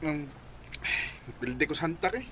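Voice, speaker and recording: A man's voice: fairly high pitch, lively intonation, moderate pace, fairly bright tone, slightly rough. A man playing an irresponsible, sheepish gambling husband who drives a tricycle, in a Filipino radio drama with a Cebuano accent.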